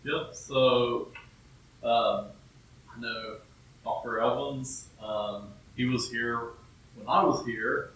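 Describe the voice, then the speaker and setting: A man's voice: medium pitch, fairly even intonation, slow pace, long pauses, fairly bright tone, slight background noise. A man hosting an informal on-location video, introducing things and putting questions to a guest. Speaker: host and interviewer. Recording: very echoey, distant mic, faint music.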